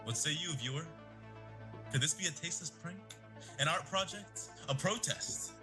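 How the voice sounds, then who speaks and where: A man's voice: a little high, normal speed, over music, natural voice. A man playing a theatrical local morning news anchor in an audio drama. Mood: dramatic. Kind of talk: newscast parody in audio drama.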